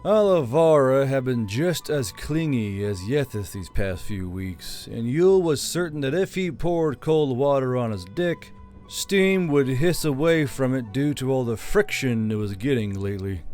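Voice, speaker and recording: A man with a mid-pitched voice narrates a fantasy novel in audiobook style. Faint music plays behind his scripted reading. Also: expressive, a little slow, darkish tone. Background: background music.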